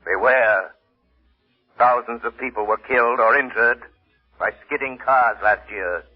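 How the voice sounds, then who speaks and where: An actor playing a sinister, ominous invisible crime-fighter giving deliberate, menacing warnings in a 1930s radio show. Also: male voice, medium pitch, husky. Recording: muffled old radio recording, music behind.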